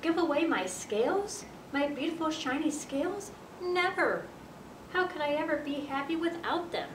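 A woman's voice: lively intonation, normal speed. Woman voicing a proud, dramatic male storybook fish. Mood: dismayed. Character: proud, vain male rainbow fish, easily upset. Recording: roomy, distant mic with noisy rumble.

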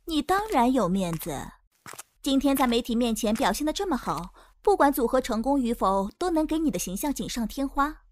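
A woman's voice: high voice, big pitch swings, normal speed, darkish tone. A woman acting in an audio drama as a shrewd, self-assured, teasing confidante to a star. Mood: persuasive.

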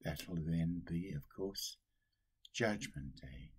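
An older man, a priest, giving a solemn sermon at a slow, deliberate pace, recorded on a webcam in a small room at home. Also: deep voice, breathy edge.

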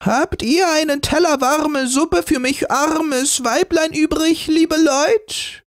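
A man voicing a frail, ragged old beggar woman in a fairy tale, with a creaky, rusty voice. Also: extremely high pitch, takes it slowly, no echo, clean studio sound, pitched above the performer's own voice, heavily acted. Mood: pleading.

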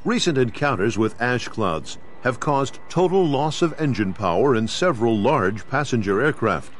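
A man with an American accent gives measured, formal, instructional narration in the style of a scripted training video. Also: mid-range voice, lively intonation. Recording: 1990s videotape with clear background noise.

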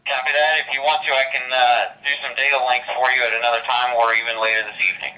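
A man's voice: mid-range voice, little pitch movement, quick pace, pushed and bright, poor audio. A man, an amateur radio operator, chatting in a two-way exchange, his voice coming through a digital radio transmission. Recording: digital voice heard over handheld radio speaker.

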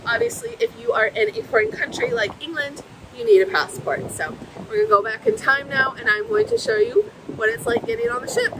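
A woman's voice: very high voice, very animated, a little fast, gravelly, background noise. A woman in her thirties from the United States, vlogging to a phone camera on a ship deck and at a port terminal, chatty and explaining each step. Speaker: travel vlogger. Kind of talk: casual vlog to camera. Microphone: phone camera at arm's length.

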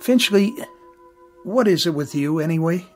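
A man's voice: a little high, lively intonation, normal speed, soft background music, natural voice. An actor playing a patient working-class TV repairman in a radio drama, talking in a blunt, folksy, colloquial way. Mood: probing.

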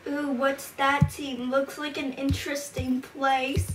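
A woman's voice: high-pitched, level tone, slow pace. A young woman in casual, playful, teasing chat while gaming. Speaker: gamer on a team play channel. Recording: distant noisy mic with typing. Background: keyboard typing and clicking.

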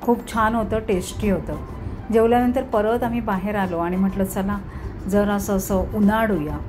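A woman's voice: mid-range voice, fairly even intonation, normal speed. A woman narrating a casual vlog tour of a farm, eagerly pointing things out to viewers. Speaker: travel vlogger. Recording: music playing underneath.

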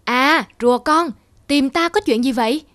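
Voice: sits high, moderate pace, a bit bright, no echo, clean studio sound. Proud, blunt cartoon eagle. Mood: curious. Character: proud, gruff, dismissive big eagle, an elder brother.

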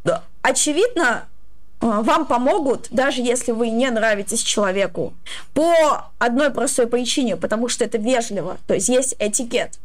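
A young woman in her twenties talks with a high voice. She explains her advice casually, with a hesitant, halting delivery. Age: 20s. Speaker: streamer giving advice.

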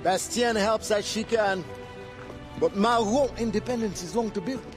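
A man acting in a video game as an earnest, resolute Maroon rebel leader with a measured, solemn manner. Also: high-pitched, over music, close to an everyday voice. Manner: measured, solemn, uses Creole proverbs.

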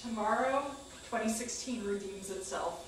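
A woman's voice: medium pitch, normal speed. A woman in her thirties reading a wry, emphatic personal monologue to a theater audience. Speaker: spoken-word storyteller on stage. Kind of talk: scripted personal monologue read aloud. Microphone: far camera microphone. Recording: echoey theater, distant mic, faint noise.

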